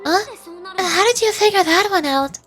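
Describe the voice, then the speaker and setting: A woman's voice: very high-pitched, expressive, normal speed, fairly bright tone, soft background music, pitched above the performer's own voice. A woman voicing a perky, puzzled young woman adventurer from a video game, informal and full of questions. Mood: surprised, curious. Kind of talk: live read-aloud of video game dialogue.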